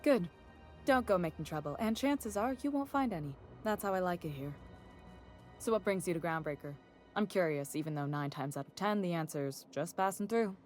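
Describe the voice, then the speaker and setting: Lady acting a no-nonsense game space captain, kinda low voice, firm but polite, straight talker. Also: animated, moderate pace, faint music behind, close to an everyday voice. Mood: firm, proud. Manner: plainspoken, firm, cordial. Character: confident, no-nonsense female space station captain, cordial. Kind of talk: video game character acting.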